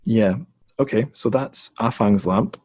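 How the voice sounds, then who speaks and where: Man, low voice, podcast host, relaxed chat, dry close sound. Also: measured pace, no echo. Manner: relaxed, chatty, with hedging fillers. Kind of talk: conversational interview.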